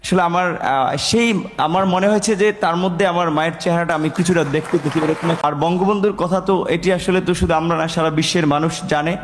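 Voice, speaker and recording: A man's voice: fairly high pitch, fairly even intonation, quick pace, slight background noise. A Bangladeshi man giving a spontaneous account in a hesitant, rambling manner. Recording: long echoing hall reverb.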